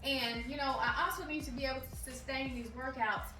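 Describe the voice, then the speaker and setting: A woman's voice: high voice, steady tone, a little fast, bright, forceful tone. A woman in her forties giving an encouraging fitness vlog, often checking in with the viewer. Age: 40s. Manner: encouraging, keeps checking in with "okay?". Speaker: fitness vlogger. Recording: echoey room, voice from a distance, music behind.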